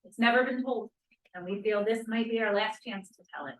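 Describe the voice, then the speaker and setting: A woman reads the part of a wistful young feminist full of earnest doubt. Her voice sits fairly high, and she muses through the script. The room rings with echo and the microphone is at some distance. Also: brisk, close to an everyday voice. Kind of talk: table read of a play script.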